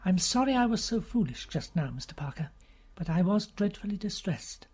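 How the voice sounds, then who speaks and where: A woman voicing a distraught young noblewoman with a breathless, pleading, high voice. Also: big pitch swings, normal speed, a little mellow, breathy edge. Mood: composed.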